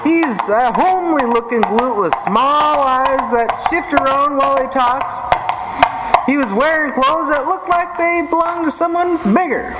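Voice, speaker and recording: An actor playing a folksy, excitable ranch hand with a rustic cowboy drawl in a live old time radio western. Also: sits high. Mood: folksy, welcoming. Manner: slow, folksy, colloquial. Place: live theatre stage. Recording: echoey hall, clearly noisy live stage recording.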